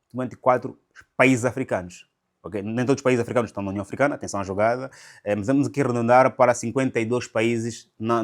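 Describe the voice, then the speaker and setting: A man speaks in a mid-range voice, commenting for an online audience. His delivery is didactic. He poses questions to the listener and then answers them himself. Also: mid-range voice. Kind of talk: explanatory video commentary.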